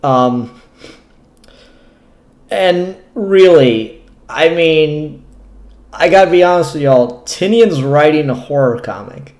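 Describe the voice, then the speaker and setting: A man's voice: fairly high pitch, expressive, takes it slowly, long pauses, a little mellow. A man hosting a casual, unscripted review vlog, talking in a candid, rambling way with the odd swear word. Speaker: comic book reviewer, show host. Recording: echoey room.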